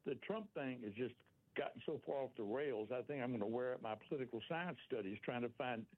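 An older man calling in to a radio show, animated and rambling. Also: medium pitch, fast talker, husky. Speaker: caller. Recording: tinny phone line.

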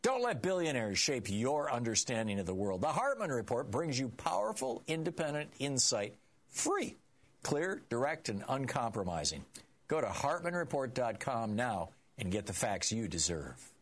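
An older man hosting a call-in radio show, taking callers and giving lively opinions with many restarts. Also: mid-range voice, moderate pace. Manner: animated, talks over callers, many restarts. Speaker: radio talk show host.

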